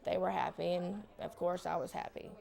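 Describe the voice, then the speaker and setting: A woman's voice: lowish voice, level tone, moderate pace, vocal fry, warm tone. A woman chatting casually as a parent in a news interview.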